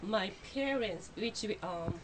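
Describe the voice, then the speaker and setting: A woman from Japan speaks English with a Japanese accent into a studio mic. Her pitch sits in a middle range, and she talks haltingly, searching for words with plenty of fillers. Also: expressive, slight background noise, poor audio. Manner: halting, with many fillers and non-native phrasing. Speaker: guest. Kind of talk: radio interview. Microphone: studio microphone.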